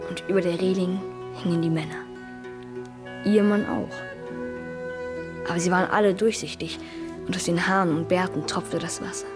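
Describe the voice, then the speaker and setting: A woman's voice: on the low side, very animated, normal speed, long pauses, music in the background. A woman playing a young schoolboy in a German radio drama, earnest, imaginative and trusting, with childlike delivery. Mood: hushed, awed. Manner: childlike, plain, wide-eyed telling.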